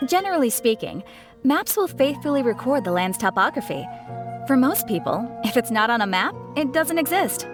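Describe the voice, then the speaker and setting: A woman voicing a matter-of-fact, gently amused souvenir shopkeeper in a video game. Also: on the high side, big pitch swings, moderate pace, over music. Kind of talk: video game cutscene voice acting.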